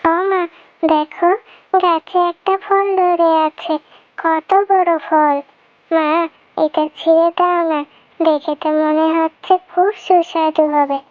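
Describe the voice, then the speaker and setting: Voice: very high-pitched, level tone, slow pace, no room sound, narrow, tinny audio. An adult voicing a pleading, whiny young fox daughter in a children's cartoon story. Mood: excited.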